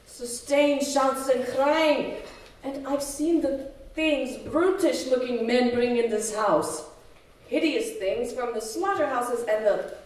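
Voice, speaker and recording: An actress playing an anxious, easily scandalised housekeeper in an old-time radio horror drama, with a dramatic, worried delivery. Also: female voice, a little high, a little mellow. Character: anxious, fretful housekeeper Madame Maurice, scandalised. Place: live stage show in a community hall. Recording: echoey community hall, far from mic.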